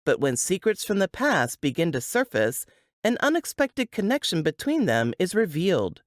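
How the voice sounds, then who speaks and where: A narrator reading a scripted suspense book trailer in a dramatic, deliberate way. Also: medium pitch, very animated, no room sound, clean studio sound.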